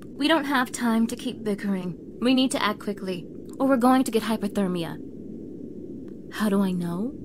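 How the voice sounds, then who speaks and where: A woman's voice: mid-range voice, normal speed, background noise, close to an everyday voice. A young woman playing a bossy, snippy mean girl in an acted audio roleplay, exasperated and sarcastic, speaking straight to the listener. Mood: annoyed, snippy.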